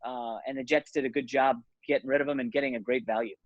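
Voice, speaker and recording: A man's voice: mid-range voice, fairly even intonation, fast talker, no echo, highs cut off. A man giving blunt, casual sports and betting analysis as an interview guest, speaking conversationally.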